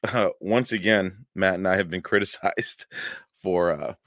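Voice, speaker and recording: A hoarse man hosting a paranormal podcast livestream, chatting casually and rambling with many fillers and chuckles. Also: deep voice, unhurried, a bit bright, no room sound, clean studio sound. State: hoarse, losing his voice.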